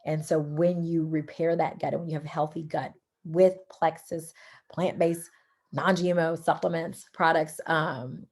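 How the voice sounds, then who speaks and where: A hoarse, raspy woman from Louisiana with a Southern accent, chatting through a sales presentation on a video call, full of fillers and y'alls. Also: sits low, steady tone, a little slow, a little mellow. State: hoarse from talking too much. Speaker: supplement seller presenting on a call.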